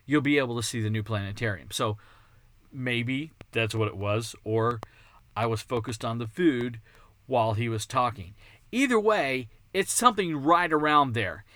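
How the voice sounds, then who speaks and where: Texan male podcast host, bright, slightly high voice, dry close sound, rambling and eager. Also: dry recording. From Texas. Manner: chatty, digressive, enthusiastic. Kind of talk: casual monologue.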